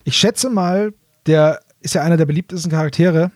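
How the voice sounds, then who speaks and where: A man with a fairly high voice chats as a podcast co-host. He explains things informally, fan to fan. The recording is close and dry. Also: fairly quick, darkish tone, dry recording. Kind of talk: casual explanatory fan chat.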